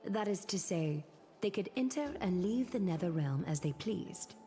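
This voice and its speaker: An actress playing a regal, knowing noblewoman in a fantasy video game, speaking in a formal, poised and deliberate way. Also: fairly low pitch, animated, dark tone, soft background music, natural voice. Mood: assured, instructive. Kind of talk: video game story acting.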